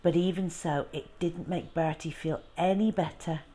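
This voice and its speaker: A woman narrating a children's storybook expressively, with measured, dramatic delivery that can drop to a hush. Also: low voice, fairly even intonation, breathy edge.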